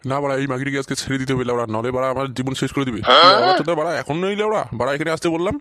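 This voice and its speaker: A man's voice: mid-range voice, rapid-fire, a bit bright, natural voice. A man voicing a henpecked, grumbling old philandering husband in an amateur comic cartoon, repeating himself and cursing. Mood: irritated, grumbling. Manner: stammering, foul-mouthed, repeats himself.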